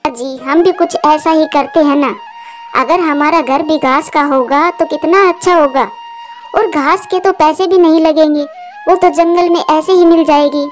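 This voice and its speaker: Woman as a worried, high-voiced mother bird. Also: measured pace, soft background music. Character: worried, practical mother bird, caring wife. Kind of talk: Hindi moral-story cartoon dubbing.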